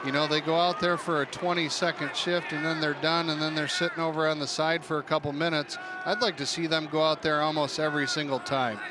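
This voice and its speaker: A man with a high-pitched voice gives live color commentary as a sports analyst. He is heard over a very loud crowd and heavy background noise. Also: flat delivery, brisk, few pauses.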